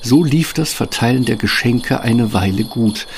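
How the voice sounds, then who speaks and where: A man's voice: medium pitch, a little slow, slight background noise. A man narrating a fairy-tale audio drama in a scripted storytelling style. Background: music.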